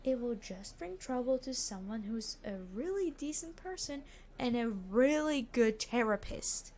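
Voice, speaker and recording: A woman playing a weary immortal supervillain in an ASMR roleplay, an exasperated boss who is gentle with hostages, speaking formally with dry sarcasm. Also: on the high side, expressive, a little slow. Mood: gentle, confiding. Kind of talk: scripted ASMR roleplay acting.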